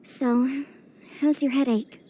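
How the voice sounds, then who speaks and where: A woman playing a caring, chatty sister in a video game, affectionate and gently scolding. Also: high-pitched, little pitch movement, slow pace, a little hiss or hum, low-quality recording. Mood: concerned, scolding. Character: caring, nagging younger-sounding sister, concerned and warm. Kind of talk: scripted video game voice acting. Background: background music.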